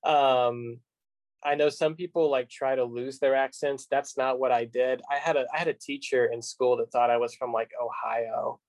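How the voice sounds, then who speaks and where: A man's voice: medium pitch, fairly even intonation, normal speed, no echo. Easygoing man from Kentucky, dry close sound. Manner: easygoing, laughs readily. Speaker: podcast guest. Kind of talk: casual chat.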